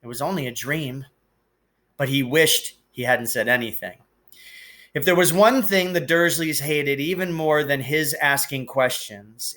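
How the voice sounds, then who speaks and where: A man reading a children's novel aloud as a teacher would, with expressive narration and deliberate, emphatic phrasing. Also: a little high.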